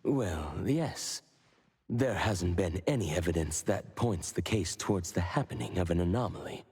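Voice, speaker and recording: An actor playing a composed, formal agency superior in a video game, speaking in a measured, businesslike and polite manner. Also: male voice, sits low, expressive, a little mellow, husky, big-room sound, full character voice.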